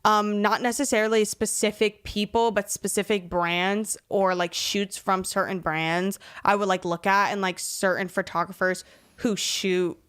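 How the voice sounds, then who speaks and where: A woman's voice: medium pitch, little pitch movement, unhurried, a bit bright, clear, resonant tone. A young woman hosting a podcast in a casual, slangy, rambling way, peppering her talk with "like". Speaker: podcast host.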